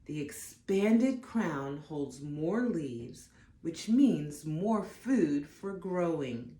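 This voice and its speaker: Mellow, low-voiced woman reading a storybook. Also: animated, roomy sound. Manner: dramatic, deliberate emphasis for young listeners. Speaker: storybook reader for children. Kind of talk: expressive read-aloud of a picture book.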